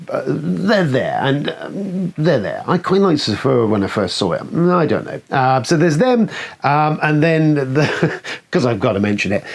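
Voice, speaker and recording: A man's voice: on the high side, vocal fry, slightly rough. A man hosting a casual, chatty film countdown in a rambling, sweary, enthusiastic way. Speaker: host of a board game film review show.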